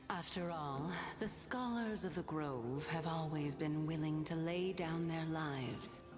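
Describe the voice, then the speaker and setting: A woman voicing a calm, gracious ancient goddess in human disguise, speaking softly in a breathy half-whisper. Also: low voice, slow, long reverb tail. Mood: calm, knowing. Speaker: game character. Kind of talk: video game voice acting. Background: game music.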